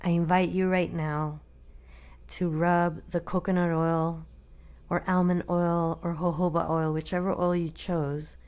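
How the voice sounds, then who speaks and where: A woman leading a guided meditation in a gentle, deliberate manner, leaving long pauses between short phrases. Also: fairly low pitch, little pitch movement.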